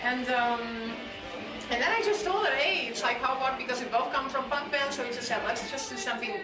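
A woman's voice: high-pitched, fairly quick. A woman in her thirties from Portugal with a Portuguese accent, a punk musician searching for words and saying "it's like" often. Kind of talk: casual interview. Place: indoor club hallway, echoey. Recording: echoey room, distant mic, music behind.

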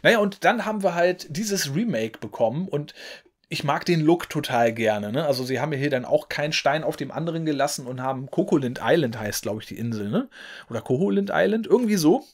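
A man's voice: fairly high pitch, animated, a little fast. A man, hosting a casual gaming video from his room, rambling with many fillers and tag questions. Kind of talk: casual talk to camera. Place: home room with game shelves, indoors. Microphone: desk mic in front.

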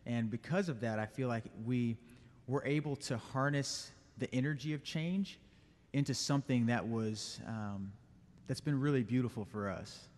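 A young man answers questions calmly and thoughtfully at a stand mic in a church sanctuary. His voice sits at a middle pitch, and the church carries a long reverb behind it. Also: moderate pace. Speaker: guest, business owner and band leader. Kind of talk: interview answers.

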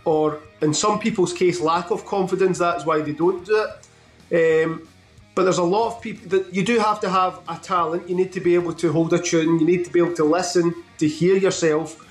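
A man with a high voice hosts a music interview from home. He takes his time building up each question. His voice comes through a roomy, echoey video call. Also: steady tone, a little fast. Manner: rambling, builds up questions at length. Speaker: interview host.

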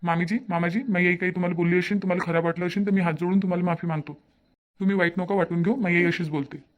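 A man's voice: sits high, flat delivery, very fast, natural voice. A man voicing a principled, earnest son in a cartoon family drama, with a Varhadi Marathi accent. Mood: apologetic. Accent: Varhadi (Vidarbha) Marathi.

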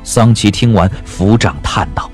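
A man's voice: on the low side, measured pace, soft background music. A man narrating a period audio drama as a storyteller, reading scripted prose dramatically.

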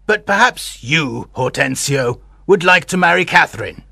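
A man as a creaky, ironical old suitor. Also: on the high side, very animated, normal speed, pushed and bright, no echo, clean studio sound, heavily acted. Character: rich old suitor, ironical, wanting a quiet life. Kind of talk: acted audiobook dialogue.

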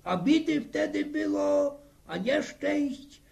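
A man's voice: extremely high pitch, lively intonation, slow pace, gravelly, a little mellow, heavily acted. An actor playing a grumbly old peasant grandfather with a rural Polish accent, muttering and stumbling in a 1960s radio drama. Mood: indignant. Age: older. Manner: muttering, stumbling, folksy interjections.

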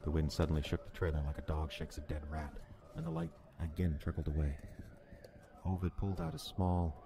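An actor playing an uneasy visitor who narrates an eerie encounter in a measured, descriptive, brooding way for a radio horror drama. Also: male voice, very deep voice, flat delivery. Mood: quietly uneasy. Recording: clear background ambience noise.

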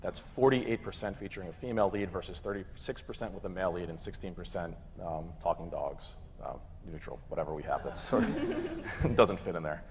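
A man in his thirties presenting and moderating a conference panel in an informal, halting way. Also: low-pitched. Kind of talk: conference presentation, semi-casual. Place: conference room stage with audience. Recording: echoey hall PA, clear background noise.

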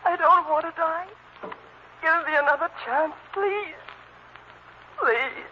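A woman's voice: very high-pitched, slow pace. An actress playing a terrified woman begging for her life in an old-time radio drama. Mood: terrified pleading. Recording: dull, noisy old radio recording.

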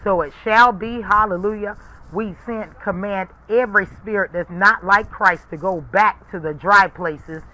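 Male preacher on a prayer line, high-pitched and bright, fervent, forceful, repetitive praise and rebukes. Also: measured pace, rough recording.

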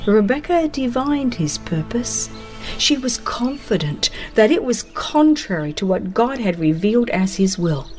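A woman narrating a dramatized religious audiobook in a solemn, deliberate storytelling manner with dramatic pauses. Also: on the high side, very animated, darkish tone, faint music behind.

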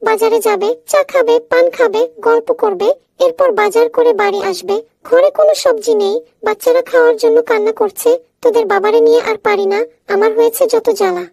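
A woman voicing a nagging little cartoon mother bird who is fed up with her husband, in a squeezed, creaky voice. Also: very high voice, fairly quick, darkish tone, dry recording. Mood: grumbling. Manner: scolding, complaining.

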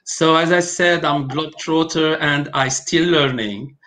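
Halting man. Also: on the high side, fairly even intonation, pushed and bright. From Algeria. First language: Arabic and French. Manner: halting, many fillers and restarts. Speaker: guest pharmacovigilance specialist. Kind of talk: online interview. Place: home room, video call.

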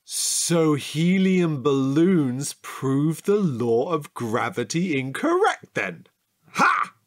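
An actor playing a chatty, childlike, curious man who is a bit slow-witted, in a playful comedic audio drama. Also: a little high. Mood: playfully challenging. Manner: playful, excitable, drawn-out delivery.

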